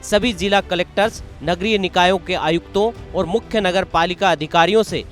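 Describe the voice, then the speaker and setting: A man's voice: high voice, moderate pace, fairly bright tone. A man reading scripted news narration in a formal, emphatic way. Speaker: news presenter. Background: background music.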